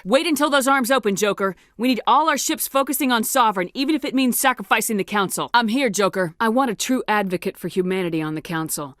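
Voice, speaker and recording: A woman's voice: mid-range voice, fairly bright tone, dry recording, studio quality, natural voice. An actress playing a tough, resolute female military commander in a sci-fi video game, speaking with firm, clipped authority. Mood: urgent, commanding.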